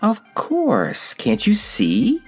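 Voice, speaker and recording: A man's voice: high voice, wildly swooping pitch, drawn-out words, slightly rough, soft background music, pitched above the performer's own voice, full character voice. A man voicing a small, carefree, self-assured female kitten with a purring manner in a storybook. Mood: smug, nonchalant.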